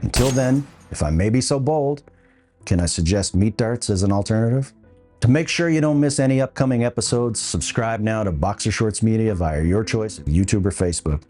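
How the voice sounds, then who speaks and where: A man's voice: on the low side, fairly quick, mellow, faint music behind. A man hosting a podcast, delivering scripted opinion commentary with a wry, dry humour. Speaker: podcast host.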